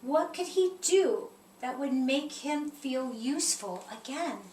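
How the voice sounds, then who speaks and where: Lady reading a kids book out loud, high voice, kinda echoey with a bit of noise. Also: expressive, slow. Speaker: storyteller reading a children's picture book aloud. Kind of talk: expressive children's story read-aloud. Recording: roomy echo, distant mic, faint noise.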